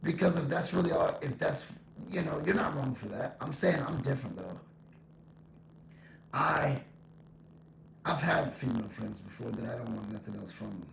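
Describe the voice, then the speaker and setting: Gravelly, echo-laden man talking casually. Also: mid-range voice, lively intonation, moderate pace, pauses often. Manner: conversational, repeats points for emphasis. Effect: echo voice effect. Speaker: vlogger giving opinions. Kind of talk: casual opinion monologue to camera. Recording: echoing voice with faint noise.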